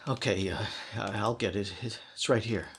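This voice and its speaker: A man's voice: on the low side, steady tone, measured pace, vocal fry, background noise. An actor playing an anxious, scheming man turned cold-blooded killer in an old-time radio thriller, terse and commanding. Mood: nervous, uneasy.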